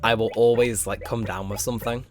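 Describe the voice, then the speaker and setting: Rambling young man, slightly hoarse. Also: fairly low pitch, level tone, brisk. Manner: rambling, filler-heavy, self-mocking. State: strained, slightly hoarse throat. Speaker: let's play host. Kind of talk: casual gameplay commentary. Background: game music.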